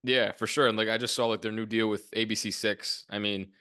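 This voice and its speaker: Twenties male host, low pitch, loose rambling questions, dry close mic. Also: flat delivery, fairly quick, sharp tone, no room sound. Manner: rambling questions with many fillers. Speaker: podcast host. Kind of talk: casual interview questions.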